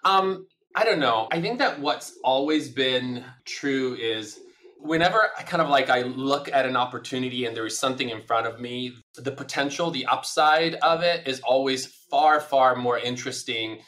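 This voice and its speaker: A man's voice: mid-range voice, normal speed, fairly bright tone. A middle-aged man from Italy, a native Italian speaker, giving long, thoughtful interview answers full of fillers. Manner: thoughtful, discursive, many fillers. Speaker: podcast guest.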